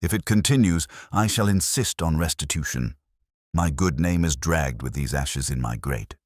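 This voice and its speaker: A man's voice: rumbling low, moderate pace, no room sound, clean studio sound. A man voicing a pompous, blustering small-town mayor in an audiobook mystery, grumbling with ponderous indignation. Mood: indignant.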